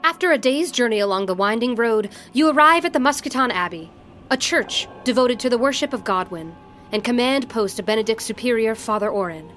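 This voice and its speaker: An actress playing a gentle, welcoming game master in an audio drama. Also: female voice, on the high side, expressive, brisk, faint music behind. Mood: narrating. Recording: faint background ambience.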